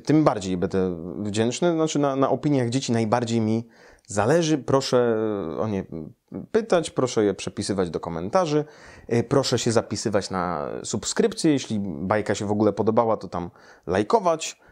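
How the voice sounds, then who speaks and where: Lower-voiced man, children's storyteller. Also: expressive, moderate pace, a little mellow. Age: 30s to 40s. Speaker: storyteller and translator reading his translation. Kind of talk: children's story read aloud. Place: small quiet room indoors, seated in an armchair.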